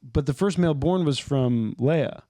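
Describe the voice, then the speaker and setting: A man's voice: on the high side, moderate pace, warm tone, no room sound, clean studio sound. A man co-hosting a podcast in casual chat, teasing his partner with jokes and asking questions. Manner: teasing, joking, asks questions. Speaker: podcast co-host. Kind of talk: casual chat about Bible stories.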